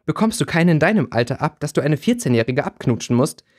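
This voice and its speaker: A man's voice: on the high side, sing-song, fast. A man voicing a hot-headed, indignant teenage schoolboy in a fantasy audiobook. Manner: indignant, combative.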